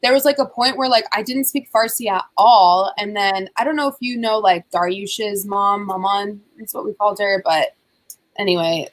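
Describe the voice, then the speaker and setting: A woman's voice: medium pitch, normal speed. A young woman from the United States hosting a casual chat over a video call, talking loosely with lots of filler words. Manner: chatty, many "like" fillers. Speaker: host.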